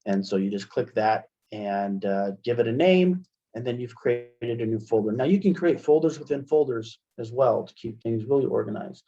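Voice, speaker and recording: Middle-aged man, mellow and slightly muffled. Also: mid-range voice, moderate pace. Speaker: webinar presenter, college media specialist. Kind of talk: software tutorial webinar. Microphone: headset microphone on video call. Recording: dull, lo-fi webinar headset audio.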